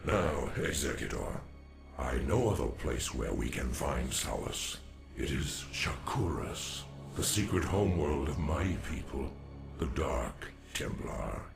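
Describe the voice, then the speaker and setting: Very deep, gravelly alien warrior elder. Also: male voice, very animated, soft background music, full character voice. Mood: solemn. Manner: grave, formal, measured oratory. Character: wise, solemn alien dark templar warrior elder. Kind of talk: video game voice acting.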